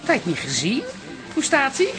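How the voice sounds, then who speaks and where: An actress playing a chatty, jolly older pub regular with a Netherlands Dutch accent and a folksy manner in a radio play. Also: female voice, high-pitched, huge pitch swings, normal speed. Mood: jovial. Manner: folksy, chuckling, colloquial. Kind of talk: radio comedy-drama acting. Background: music.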